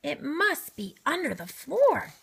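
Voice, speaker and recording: A restless, fussy owl from a children's storybook, voiced by a woman reader. The voice sits high and swings about in exclamations as he talks to himself. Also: very animated, slow, vocal fry, a bit bright, full character voice. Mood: exasperated. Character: fussy, restless owl homeowner, increasingly exasperated. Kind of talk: storybook character voice.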